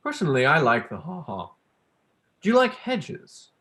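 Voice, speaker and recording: A young performer playing a pedantic male academic in a staged play reading, halting, smugly polite and prone to interrupt himself. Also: medium pitch, huge pitch swings, a bit bright. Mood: teasing, polite. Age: young adult. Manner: halting, donnish, breaking off with apologies.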